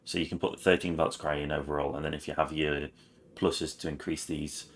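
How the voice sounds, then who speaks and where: A man with a raspy voice this morning, talking through a game guide with many ums and restarts, slipping in words such as "whilst". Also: rumbling low, level tone, a little fast, slight background noise. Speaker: gaming channel host.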